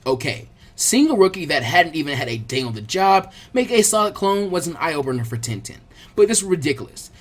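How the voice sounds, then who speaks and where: A narrator reading an action story aloud as a dramatic, scripted audiobook. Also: mid-range voice. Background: background music.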